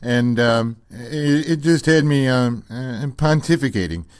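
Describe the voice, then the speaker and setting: A man playing a mild, pompously wordy pseudo-intellectual radio co-host in a comedy sketch, deadpan and very hesitant, stammering through his musings. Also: mid-range voice, unhurried, smooth, dry recording, clean studio sound, lightly acted. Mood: ponderous, musing.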